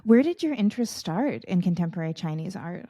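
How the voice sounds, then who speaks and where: A woman's voice: lowish voice, very animated, brisk, dark tone, dry recording, clean studio sound. A woman hosting a podcast interview, welcoming and articulate as she introduces her guests. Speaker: podcast host.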